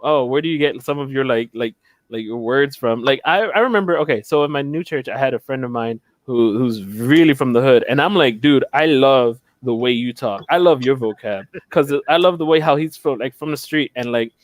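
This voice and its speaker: A man's voice: mid-range voice, a little fast, dry recording. A young man from Philadelphia, a panel guest in casual chat on a video call from home, rambling and losing his train of thought. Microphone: headset.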